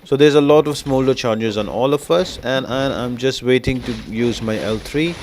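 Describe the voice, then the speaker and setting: A man's voice: mid-range voice, normal speed, darkish tone, slight background noise. A man giving a casual game walkthrough, explaining tactics step by step to viewers. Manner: explanatory, addresses viewers as guys. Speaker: game guide host. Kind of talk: casual gameplay walkthrough commentary.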